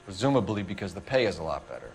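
An American actor playing an earnest, stern young police detective in a video game, with a clipped, interrogating manner. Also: male voice, deep voice, sing-song, racing, faint music behind. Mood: businesslike. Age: young adult.

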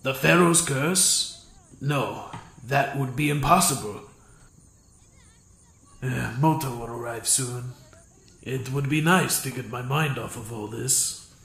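A man acting a slow, gravelly, weary professor. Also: medium pitch, fairly bright tone. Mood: weary, uneasy. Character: weary, frightened professor haunted by a curse. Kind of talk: anime fandub acting. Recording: roomy echo, faint music behind.

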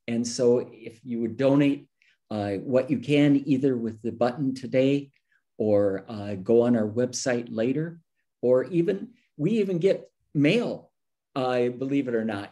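Older male moderator for an online nonprofit event, mellow and slow-paced, informal delivery. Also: mid-range voice. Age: older, 60s to 70s. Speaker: moderator, nonprofit founding director. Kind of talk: informal closing remarks to an online gathering. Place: small home office, indoors, on a video call.

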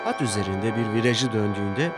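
A man's voice: on the low side, animated, a little fast. Male children's story narrator over brassy music. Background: orchestral music with brass.

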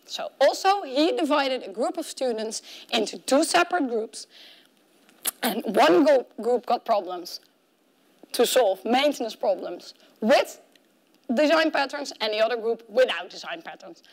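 Woman in her 30s doing a conference talk, pretty high voice, chatty and animated, explaining research. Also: measured pace. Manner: animated, conversational, acts out imagined objections. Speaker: conference keynote speaker. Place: conference room in front of a projection screen. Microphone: clip-on mic at the collar.

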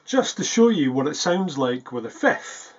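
A man's voice: fairly high pitch, very animated, unhurried, heavy background noise. A man in his forties chatting casually and a little hesitantly to camera as a self-deprecating guitarist, recorded in a small practice room. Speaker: guitarist presenting a video. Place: small foam-treated practice room indoors. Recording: dull, muffled sound.

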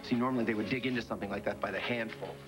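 An older man acting in a daytime soap, gravely explaining a danger to a friend. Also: fairly low pitch, fairly even intonation, very fast, music in the background, natural voice. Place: indoor studio set.

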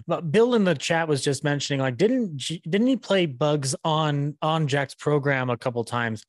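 A man's voice: fairly high pitch, normal speed, dry recording. A man in his thirties hosting a casual interview on a close microphone, chatty and stumbling over his phrasing. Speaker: podcast host. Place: small room, indoors, on a video call. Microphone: close podcast microphone on a boom arm.

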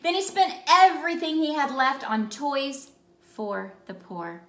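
A woman in her thirties reading a storybook aloud to children in an animated, expressive way with emphatic phrasing. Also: high voice, a little slow. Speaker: children's ministry read-aloud host. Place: small indoor room by a Christmas tree. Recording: roomy indoor echo.

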